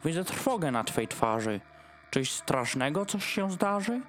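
A woman's voice: low voice, very animated, a little slow. A woman playing a fussy, harried male dog hosting a holiday meal, declaiming in rhyme in an audio drama. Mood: concerned, leading. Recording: music behind the voice.

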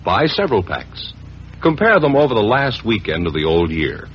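An American man delivering an emphatic, salesmanlike 1950s radio commercial in a husky voice. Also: on the low side, very animated, normal speed, slight background noise. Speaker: commercial announcer. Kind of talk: 1950s radio advertising pitch. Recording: dull, lo-fi vintage radio recording.

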